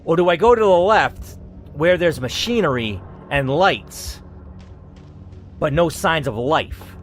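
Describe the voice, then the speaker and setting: A man's voice: on the high side, animated, slow pace, a little hiss or hum. A young man hosting a gaming channel, reading an interactive story game aloud and breaking off into casual, swearing asides.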